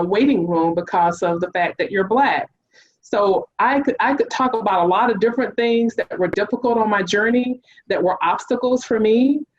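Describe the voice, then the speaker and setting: Earnest woman on a dull lo-fi line. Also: mid-range voice. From Mississippi. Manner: reflective, earnest. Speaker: corporate executive panelist. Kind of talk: panel discussion. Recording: slightly dull, lo-fi sound.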